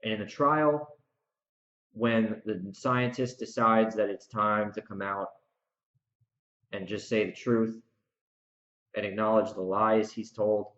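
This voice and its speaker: A man's voice: lowish voice, steady tone, slow, pauses often, a bit bright. A man hosting a solo podcast in a rambling, emphatic, preachy way, repeating phrases for stress. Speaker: podcast host and author. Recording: roomy echo, slightly dull lo-fi sound.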